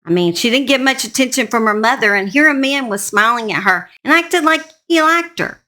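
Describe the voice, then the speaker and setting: A woman's voice: fairly high pitch, expressive, fairly quick, bright, forceful tone. A woman hosting and telling a true-crime story in a folksy, emphatic, conversational way. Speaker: host narrator.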